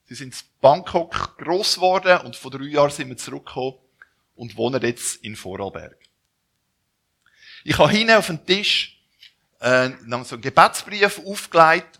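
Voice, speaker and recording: A man in his forties from Zurich with a Swiss German accent, introducing himself as a missionary to a church gathering, speaking haltingly with frequent restarts. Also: mid-range voice, pushed and bright. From Zurich, Switzerland. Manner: halting, with restarts and self-corrections. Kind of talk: personal introduction to a church audience.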